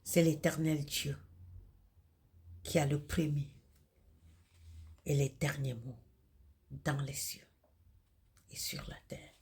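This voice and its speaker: A Cameroonian woman with a husky, gravelly voice delivers a religious monologue in solemn, declamatory, halting phrases, with a Cameroonian French accent. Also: deep voice, steady tone, a little mellow, a little hiss or hum. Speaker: video host and self-described messenger of God.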